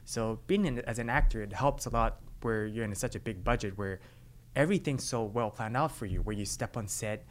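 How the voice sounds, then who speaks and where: Low-voiced young man telling his story. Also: a little fast. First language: Cantonese and English. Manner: earnest, relaxed storytelling with fillers. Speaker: actor being interviewed. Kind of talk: casual interview answers.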